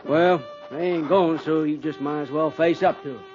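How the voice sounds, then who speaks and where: An actor playing an easygoing, cautious cavalry private with a folksy American drawl in a 1950s radio western. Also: male voice, on the high side, steady tone, moderate pace, darkish tone, soft background music, lightly acted. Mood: easygoing, resigned. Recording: muffled, noisy 1950s radio recording.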